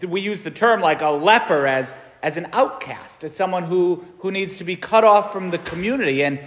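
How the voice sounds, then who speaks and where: Chatty priest in echoing church. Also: male voice, high voice, expressive, moderate pace, a bit bright. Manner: casual, chatty, many fillers and tag questions. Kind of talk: conversational homily. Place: large echoey church. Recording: echoey church with long reverb.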